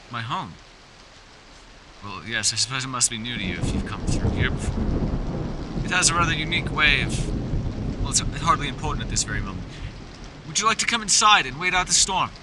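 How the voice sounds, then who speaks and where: A man's voice: mid-range voice, animated, fairly quick, pauses often, very noisy, lightly acted. Guy doing a posh-worded playful elf scholar for fantasy asmr, super swoopy voice, rain and thunder going behind him. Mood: welcoming, courteous. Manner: theatrical, genteel, old-fashioned formal phrasing. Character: mysterious, courteous, playful male elf scholar living alone. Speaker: voice actor. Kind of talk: ASMR fantasy roleplay addressed to the listener. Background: rain and thunder sound effects.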